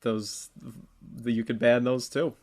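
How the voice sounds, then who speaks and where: A man co-hosting a podcast, discussing politics casually in a halting, informal way and often repeating words. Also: mid-range voice, level tone, darkish tone. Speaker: podcast co-host debating politics.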